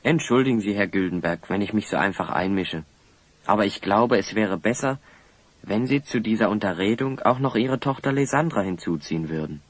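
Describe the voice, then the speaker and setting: A man's voice: on the low side, animated, a little fast, dry recording. A man playing a confident, polite young adventurer hero who talks in a reassuring way in a children's audio drama.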